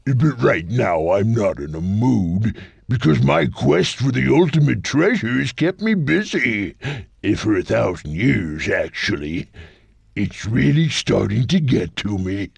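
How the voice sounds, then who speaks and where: An actor playing a weary, thousand-year-old all-seeing guide in a video game, with a gravelly, husky voice and a slow, dramatic manner. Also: male voice, mid-range voice, sing-song, no echo, full character voice. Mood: weary, grumbling. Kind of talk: video game cutscene acting.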